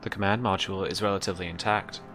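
A man voicing a terse, impassive ship's computer in a tabletop game, speaking formally and flatly. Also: sits low, racing, soft background music. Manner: flat, formal, withholding.

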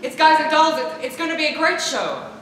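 A woman playing a harried high school musical director in a stage comedy, insistently keeping order. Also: big pitch swings, fairly quick, fairly bright tone. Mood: exasperated, reassuring. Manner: insistent, trying to keep order. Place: large theater stage, filmed from the audience. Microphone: far camera. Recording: echoey auditorium, faint noise.

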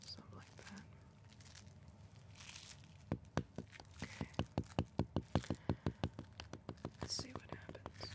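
A woman's voice: lowish voice, lightly acted. Whispering, weary young backwoods woman played by an actress. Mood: dry, soothing. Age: young adult. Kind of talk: whispered ASMR roleplay. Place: dim indoor room. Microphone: close ASMR microphone.

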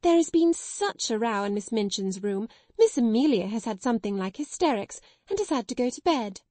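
A woman voicing an eager schoolgirl who is quick to gossip. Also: fairly high pitch, lively intonation, mellow, no echo, close to an everyday voice.

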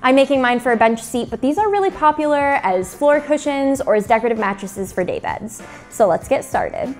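Craft tutorial host: young female, fairly high pitch, scripted instructional read over background music. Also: sing-song, brisk, a little mellow. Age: young adult.

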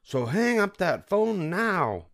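A man's voice: sits high, very animated, a bit bright, voice pushed up, exaggerated voice. A man voicing a strict, scolding mother bear in a storybook reading. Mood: stern. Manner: slow, firm scolding. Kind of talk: storybook read-aloud.

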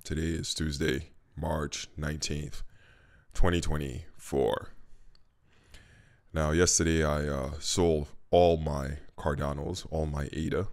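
A man's voice: a bass voice, level tone, slow, darkish tone. A man in his thirties from Haiti, chatting casually and candidly to camera from his home kitchen into a studio mic, with lots of fillers. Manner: conversational and candid, with frequent fillers. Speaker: vlogger. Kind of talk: casual talking-head vlog. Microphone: studio mic on a stand in front of him.

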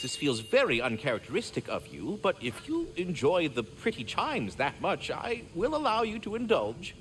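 Swooping, florid talking squirrel. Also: male voice, on the high side. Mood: patient, bemused. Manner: florid, pompous, theatrical enunciation. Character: chatty, courtly talking squirrel, fussy and affable. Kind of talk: video game character acting.

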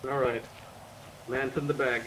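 A man's voice: medium pitch, level tone, a little slow, fairly bright tone, rough recording. A man talking casually in character as a player in an online roleplay game. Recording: faint noise behind game voice chat.

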